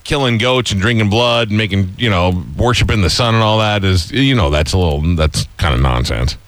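A man's voice: on the low side, lively intonation, brisk, a bit bright, dry recording. An American man hosting a radio interview in casual, joking chat, with a blunt, teasing and skeptical manner.

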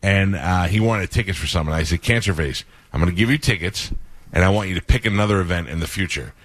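Man, radio host, very low voice, rambling casual storytelling, dry studio sound. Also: quick pace, no room sound.

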